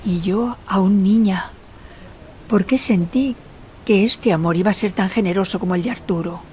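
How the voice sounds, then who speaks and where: Radio drama lead: a woman as a mournful, regal queen in exile, formal and lamenting, medium pitch, noise behind. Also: normal speed, a little mellow, close to an everyday voice. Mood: sorrowful, solemn. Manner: solemn, literary, lamenting. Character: sorrowful exiled queen recalling her youth, dignified. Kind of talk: radio drama monologue, old radionovela style.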